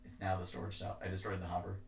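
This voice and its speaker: A woman's voice: extremely low pitch, monotone, racing, soft background music. A woman chatting casually as co-host of a video game session, recorded on a noisy mic in an echoey room. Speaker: gaming video co-host running the minigame. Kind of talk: casual gameplay chat.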